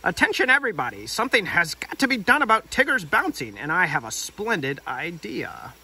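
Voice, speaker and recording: Man putting on a high-pitched bossy storybook rabbit. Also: big pitch swings, brisk, bright, forceful tone, husky, far from the mic, exaggerated voice, poor audio. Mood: bossy, scheming. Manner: pompous, declaring. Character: bossy, scheming, irritable male storybook rabbit.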